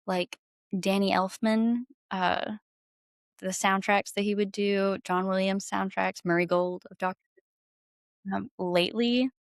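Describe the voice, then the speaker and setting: A woman's voice: mid-range voice, dry recording, clean studio sound. A woman talking casually and enthusiastically as a guest in an interview, effusive about her creative work. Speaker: podcast guest, singer-songwriter and audio drama creator.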